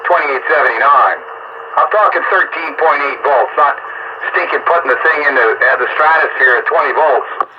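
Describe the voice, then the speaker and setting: Through a dull, clearly noisy two-way radio link comes a man with a slightly high-set voice. He chats casually about technical matters as a hobbyist, in plain, colloquial language. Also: moderate pace. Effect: two-way radio transmission.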